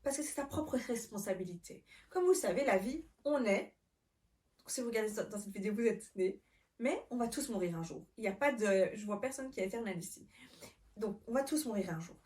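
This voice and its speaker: A woman's voice: medium pitch, expressive, brisk, darkish tone, distant mic. A Belgian-born woman in her twenties telling her personal story to camera in an earnest, reflective way, with frequent fillers and restarts. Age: 20s. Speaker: vlogger.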